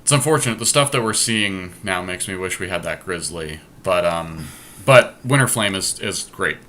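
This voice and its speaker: Bright-voiced, low-pitched man thinking aloud. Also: animated, moderate pace. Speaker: podcast host playing a card game draft. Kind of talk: casual strategy commentary while drafting.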